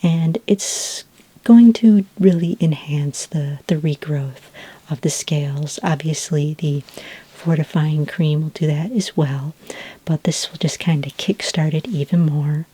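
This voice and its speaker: Woman, soft-spoken ASMR consultant role: low, dark and mellow, half-whispered, gently reassuring. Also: measured pace, husky. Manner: hesitant, gently explanatory, reassuring. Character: calm, reassuring female sci-fi skin care consultant. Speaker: ASMR roleplay performer as a skin care consultant.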